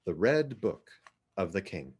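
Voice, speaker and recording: A man giving a dramatic literary reading of poetry, with measured, deliberate, theatrical phrasing. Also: low-pitched, big pitch swings, a little mellow, husky. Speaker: literary reader.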